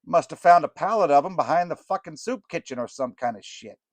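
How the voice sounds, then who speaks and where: A man voicing a fast-talking bald male inmate with a Northeastern accent, griping in a raised, wisecracking voice. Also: a little high, animated, dry recording, pitched above the performer's own voice.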